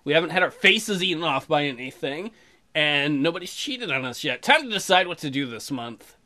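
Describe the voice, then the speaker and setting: A man's voice: fairly high pitch, expressive, normal speed, sharp tone. A man doing casual, crude, joking commentary on a visual novel game, slipping into sing-song asides. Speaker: let's player. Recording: music behind. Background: game music.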